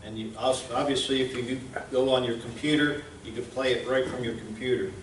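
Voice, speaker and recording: A man presents informally to a group in a plain, folksy, slightly halting way. He sits at a middling pitch, heard a little away from the mic in an echoing room with noise behind him. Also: fairly even intonation. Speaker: presenter, radio station founder. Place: indoor museum event room. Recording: roomy, distant mic, clear background noise.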